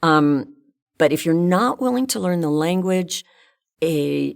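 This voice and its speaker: A woman's voice: low-pitched, expressive, a little slow, creaky. An older woman, a guest in an interview, talking frankly and thinking aloud with fillers. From United States. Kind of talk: casual interview chat.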